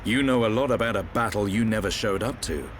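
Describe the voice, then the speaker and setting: A man's voice: on the low side, a little fast, slight background noise, natural voice. A man playing a seasoned, protective warrior leader in a video game, assured and wry in manner. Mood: wry, easygoing. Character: seasoned, level-headed male war hero, protective leader. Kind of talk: video game cutscene acting. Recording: faint outdoor ambience.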